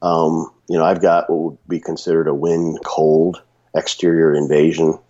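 A man with a cold and a raspy voice, talking casually on a podcast with many restarts and fillers. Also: very deep voice, level tone, normal speed, warm tone, dull audio. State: sick with a runny nose.

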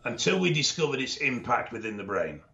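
A man co-hosting a podcast, explaining things in a knowledgeable, measured way with dry humour and frequent ums. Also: mid-range voice, big pitch swings, creaky, pushed and bright. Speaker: expert co-host. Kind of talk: casual podcast chat, explaining. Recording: dull lo-fi audio.

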